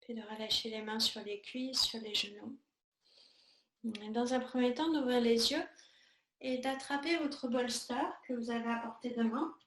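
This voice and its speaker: A woman teaching yoga, guiding a meditation in a calm, soothing, unhurried way with pauses between short phrases. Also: on the high side. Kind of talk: guided yoga and meditation instruction. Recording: echoey room sound.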